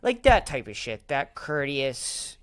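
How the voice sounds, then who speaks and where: A young man talking loosely on a podcast, swearing and circling back through his points with plenty of fillers. His voice sits at a middling pitch. The sound is dry and close to the mic. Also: very animated, slow, smooth, dry recording. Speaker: podcast co-host. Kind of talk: casual chat.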